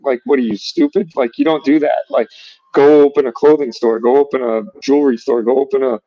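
A man's voice: medium pitch, steady tone, brisk, warm tone, dry recording, muffled recording. A man chatting casually as an interview guest, explaining things and acting out bits of imagined dialogue. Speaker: gun shop owner, podcast guest.

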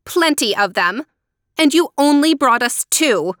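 A woman voicing a greedy, bad-tempered spoiled girl with a rude, sharp, demanding manner in a storybook tale. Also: mid-range voice, lively intonation, moderate pace, no room sound, studio quality, lightly acted. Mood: angry.

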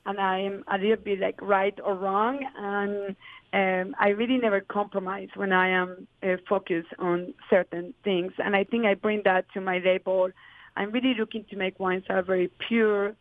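A woman's voice: mid-range voice, flat delivery, normal speed, dry recording. Woman over a tinny line. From Colombia. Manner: earnest, flowing explanations with many fillers. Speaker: winemaker. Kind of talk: interview answers.

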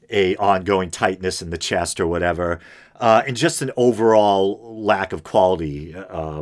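A middle-aged man with a low, droning, semi-gravelly voice hosts his own podcast. He rambles in a self-deprecating way, full of ums and restarts, pitched on the deep side. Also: a bit bright. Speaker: podcast host. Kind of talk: casual solo podcast monologue.

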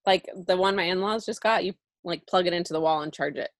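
A woman's voice: fairly low pitch, fast, dry recording. Chatty, tired young woman in dry sound. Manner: chatty, laughs often, addresses listeners. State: tired at end of workday. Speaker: podcast co-host. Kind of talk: casual chat.

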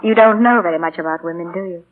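A young woman acting in 1940s radio style as a self-assured artist, brisk, bantering and teasing. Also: on the low side, lightly acted. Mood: animated, bantering. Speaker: radio actress. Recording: tinny, band-limited 1940s radio broadcast.

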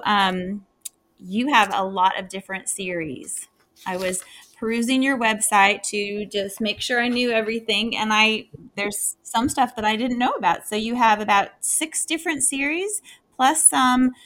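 A woman's voice: fairly high pitch, unhurried, fairly bright tone. A woman hosting a writing podcast, chatting eagerly with her guest. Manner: upbeat, eager. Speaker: podcast host. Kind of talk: casual interview chat.

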